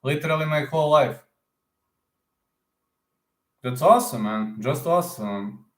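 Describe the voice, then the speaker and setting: A man's voice: a little high, expressive, a little slow, roomy sound. A young man, chatting casually with viewers on a livestream and answering their questions, often repeating his phrases. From Serbia. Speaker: livestream host.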